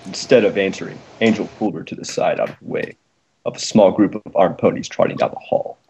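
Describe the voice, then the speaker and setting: Low-pitched man narrating a fan story. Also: brisk, darkish tone, poor audio. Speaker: fan fiction reader. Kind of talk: amateur scripted story narration. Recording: amateur recording with hiss and clicks.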